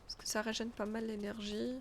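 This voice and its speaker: A woman's voice: on the high side, flat delivery, a little fast, slight background noise. A woman with a stuffy nose chatting casually as she streams a game, thinking aloud and answering her chat. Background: faint game music.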